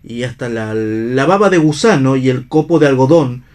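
A man's voice: medium pitch, moderate pace, darkish tone, close to an everyday voice. An Argentine man playing a bitter, idealistic, disillusioned stage actor in a radio theatre reading.

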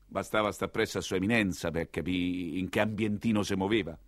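A man with a Roman accent performing an earthy, expressive theatrical monologue as a storytelling coachman. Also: low-pitched, brisk, dry recording, clean studio sound. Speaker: solo stage actor narrating as a coachman.

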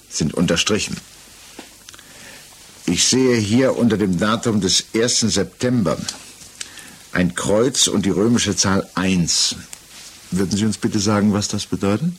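An actor playing a stern, methodical examining magistrate in a 1960s German radio play, speaking formally, precisely and insistently. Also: male voice, deep voice, a little slow, long pauses, husky. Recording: noisy 1960s mono radio recording.

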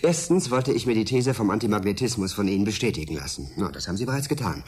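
An actor in a German radio drama playing a politely persistent journalist with a dry, probing manner. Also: male voice, lowish voice, animated, fast, a little hiss or hum, natural voice.

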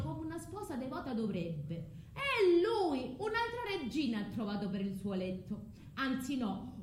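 A woman's voice: sits high, reverberant, slight background noise, full character voice. A woman acting a scorned foreign sorceress bent on vengeance in a theatrical radio drama, impassioned and incantatory. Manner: impassioned, pleading, incantatory, mocking.

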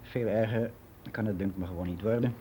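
Mellow-voiced, candid older man, played by an actor. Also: fairly low pitch, steady tone, slight background noise, close to an everyday voice. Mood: bitter, indignant. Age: 60s. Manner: frank, reflective, halting with self-corrections. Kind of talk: confessional monologue in a radio play.

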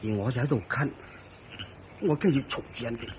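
An actor playing a sickly, anxious monk disciple in a Cantonese radio drama, speaking deferentially and earnestly. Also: male voice, medium pitch, fairly quick, darkish tone, a little hiss or hum, close to an everyday voice. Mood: apologetic, troubled. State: sickly, prone to coughing.

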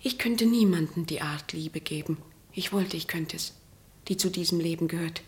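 An actress playing an earnest, troubled young woman in a German radio play, resolute and at times hushed. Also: fairly low pitch, moderate pace, natural voice. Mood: despairing, confessional.

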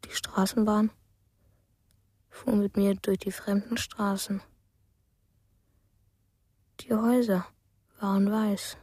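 A woman playing a withdrawn, frightened young boy in a radio drama, with a soft, hushed, halting delivery. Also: mid-range voice, level tone, breathy edge, no echo, studio quality. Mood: dreamy, subdued. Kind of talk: German radio drama monologue.

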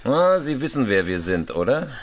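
An actor playing a calm, commanding escaped robber in a German radio crime drama, politely threatening. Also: male voice, medium pitch, moderate pace, slight background noise, lightly acted. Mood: cool menace. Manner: controlled, polite but threatening.

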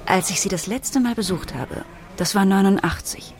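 A woman's voice: medium pitch, normal speed, natural voice. An actress playing a worried, weary but firm middle-aged mother, acting and narrating in a radio drama. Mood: reflective, gentle.

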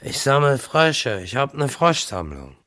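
An actor in a radio play as a drunken, cranky, coarse tenant who rambles and jeers. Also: male voice, medium pitch, big pitch swings, unhurried, fairly bright tone. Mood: sullen, rambling. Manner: blunt, coarse, jeering, swinging between barking and muttering. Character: drunken, cranky, rambling jobless male tenant, newly widowed. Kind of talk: radio drama acting.

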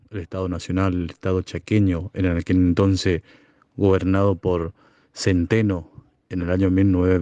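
A man from Chaco, a community broadcaster giving slow, halting, solemn testimony. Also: low-pitched, mellow, no room sound, muffled recording. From Chaco, Argentina. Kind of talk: recorded testimony.

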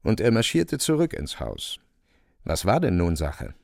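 A wry male storyteller in a literary radio play. His low, gravelly voice swings animatedly through short, suspenseful phrases in a dry, close studio sound. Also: wildly swooping pitch, darkish tone, no echo, exaggerated voice. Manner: theatrical, wry, short phrases with pointed pauses. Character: wry, vivid male storyteller relishing grotesque events. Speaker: narrator.

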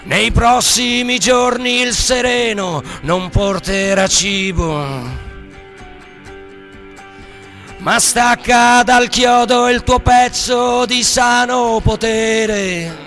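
A man performing a protest ballad for radio theatre, half sung and half declaimed, in drawn-out rhythmic phrases. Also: very high-pitched, a bit bright. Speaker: singer and stage performer. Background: music.

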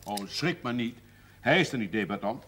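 A man's voice: medium pitch, expressive, moderate pace, fairly bright tone, lightly acted. An actor playing a wry, probing older village father in a naturalistic television drama, with understated and hesitant phrasing. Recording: faintly noisy 1970s television soundtrack, tableware clinks.

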